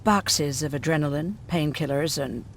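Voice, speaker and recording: An actress playing a frank, matter-of-fact resistance survivor in a video game, conversational in delivery. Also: female voice, low-pitched, normal speed, slight background noise. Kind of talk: video game cutscene acting.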